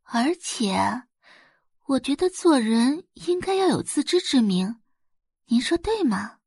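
A woman's voice: sits high, big pitch swings, takes it slowly, husky, no echo, studio quality, voice pushed up, full character voice. Woman playing a smug, sweetly venomous young socialite. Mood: whispered disdain. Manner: gentle polite tone laced with condescension. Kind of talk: audiobook drama character acting.

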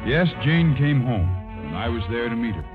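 A man's voice: medium pitch, sing-song, normal speed, narrow, tinny audio, lightly acted. An actor playing an earnest, polite man in a 1950s radio drama. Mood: composed. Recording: old radio broadcast with music behind.

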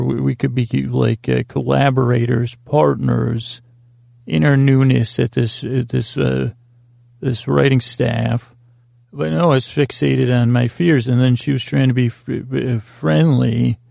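A man hosting a podcast, rambling through a bedtime monologue in a meandering, halting, self-deprecating way with a gravelly voice. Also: medium pitch, mellow, dry recording, clean studio sound. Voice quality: gravelly, creaky. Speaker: podcast host. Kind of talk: rambling bedtime monologue to help listeners sleep.

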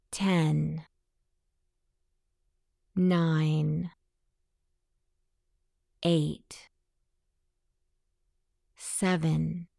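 Very slow, creaky-voiced woman guiding meditation. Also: lowish voice, no room sound, studio quality. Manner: soothing, measured, gentle. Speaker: guided meditation host. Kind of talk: guided meditation, self-hypnosis.

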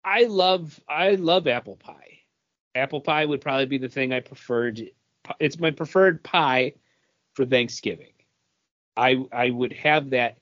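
A man talks casually as a podcast co-host, with a medium-pitched voice. The sound is dry and close, with a slightly dull, lo-fi edge. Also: unhurried, a bit bright, dry recording. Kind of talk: casual group chat.

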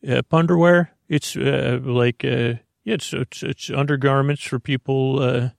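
Creaky-voiced man rambling soothingly. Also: mid-range voice, steady tone, dry recording, studio quality. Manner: soothing, meandering, halting with frequent stammers and self-interruptions. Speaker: podcast host. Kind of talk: rambling bedtime sleep podcast monologue.

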